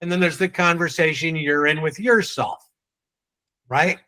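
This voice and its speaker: A man with a New England accent leading a live online sales training, quizzing and teasing his audience in a brisk way. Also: fairly high pitch, pushed and bright.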